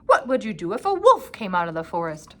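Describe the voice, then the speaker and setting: A stern old grandfather who scolds loudly and sternly, as a woman reading aloud puts him on. His storybook voice rises and falls widely with each rebuke. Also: very high-pitched, huge pitch swings, normal speed, pitched above the performer's own voice, full character voice. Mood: scolding.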